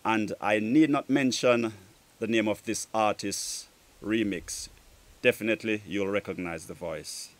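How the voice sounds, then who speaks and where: A man presenting a music show outdoors in a garden, casually giving friendly shout-outs in drawn-out phrases. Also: fairly low pitch. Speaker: DJ and show host.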